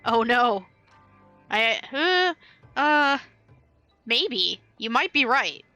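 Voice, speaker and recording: A woman's voice: fairly high pitch, sing-song, very slow, sharp tone, no echo, faint music behind. A woman streaming a game live, chatting playfully and casually to her viewers. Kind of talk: casual live-stream chat while playing.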